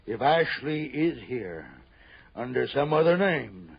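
A nervous, domineering rich man in an old radio drama. He is gravelly and unhurried, stammering and repeating himself as he blusters. The recording is dull, like vintage broadcast audio. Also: mid-range voice, very animated, heavily acted. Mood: urgent, bossy. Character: nervous, bossy, wealthy male host fearing for his life. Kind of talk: 1960s radio drama acting.

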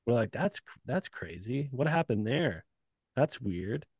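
A man in the guest chair of a casual podcast, talking loosely with plenty of swearing and easy laughter. His voice sits at an ordinary middle pitch. The recording is close and dry, with no room sound. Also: sing-song, measured pace, darkish tone, breathy edge, no echo. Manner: laid-back, profane, laughs often. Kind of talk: casual chat.

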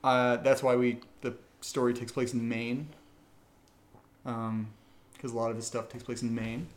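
Casual man with a mid-pitched voice. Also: flat delivery, a little slow. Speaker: audio drama writer, co-host reading listener questions. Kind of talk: casual Q&A chat.

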